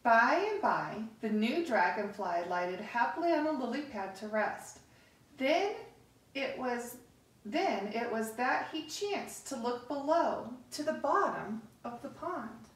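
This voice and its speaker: A middle-aged woman reading a picture book aloud to children, with deliberate, expressive pauses, recorded across a living room. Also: on the high side. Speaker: story time host reading to children. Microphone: camera across the room. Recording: echoey room, distant microphone.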